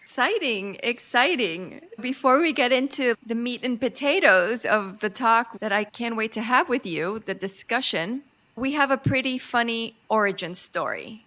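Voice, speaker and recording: A middle-aged woman from Chile hosting a podcast, chatting casually, bubbly and quick to laugh as she tells stories. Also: on the high side. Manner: bubbly, laughs often, animated storytelling. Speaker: podcast host. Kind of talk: casual interview chat.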